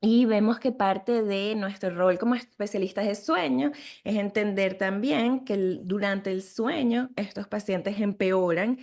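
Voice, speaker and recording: A middle-aged woman with a Venezuelan Spanish accent explains medical studies in a webinar. Her voice sits at an ordinary pitch and carries a gravelly fry. She talks conversationally, with frequent fillers and restarts. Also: unhurried, darkish tone. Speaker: sleep medicine physician lecturing. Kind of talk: webinar lecture.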